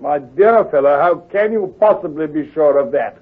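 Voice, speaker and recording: An actor playing a genial, earnest, easily flustered gentleman sidekick with a British accent, hesitant and bumbling, in an old-time radio drama. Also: on the high side, lively intonation, normal speed, breathy edge. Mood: doubtful. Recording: muffled vintage radio recording, music.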